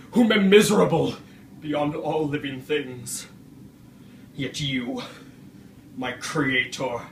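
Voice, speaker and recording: A man playing Frankenstein's creature, wretched, anguished and vengeful, in a theatrical, archaic stage monologue with deliberate oratory. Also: mid-range voice, fairly bright tone. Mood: bitter, wounded. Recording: roomy, distant microphone, faint noise.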